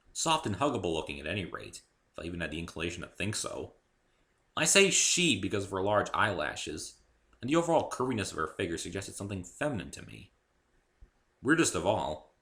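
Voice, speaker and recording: A man's voice: on the low side, pushed and bright. A man giving a dramatic first-person reading of his own story, theatrical and emphatic.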